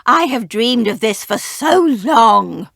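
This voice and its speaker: A high, piercing storybook witch, sly and imperious. She cackles and shrieks with gleeful wickedness, swooping up and down in pitch. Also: lively intonation, takes it slowly, vocal fry, a bit bright, dry recording, clean studio sound, full character voice. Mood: gloating.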